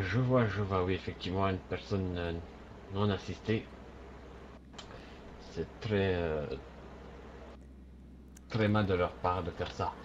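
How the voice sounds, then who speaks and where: A man playing a formal defense lawyer who speaks hesitantly, with many pauses. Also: deep voice, dull audio, background noise. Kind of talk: improvised role-play.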